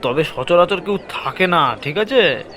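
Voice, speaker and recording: Higher-voiced, cautious local man, played by an actor. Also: expressive, measured pace, crowd noise. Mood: cautious advice. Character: cautious, obliging local man giving reluctant advice. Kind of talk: dramatised horror audio story.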